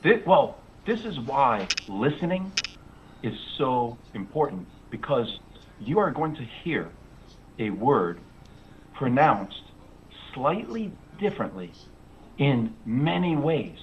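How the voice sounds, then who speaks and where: A man's voice: medium pitch, big pitch swings, takes it slowly, background noise. A middle-aged man with a New England American accent, coaching English over a video call in an encouraging way and repeating himself for emphasis. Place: indoor room. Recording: slightly dull video call audio.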